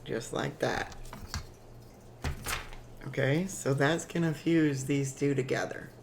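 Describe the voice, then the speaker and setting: Slow, raspy woman with a cold. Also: very deep voice, fairly even intonation, background noise. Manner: chatty and unhurried, frequent ums. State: hoarse from a cold. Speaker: craft tutorial host. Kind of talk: casual step-by-step crafting tutorial.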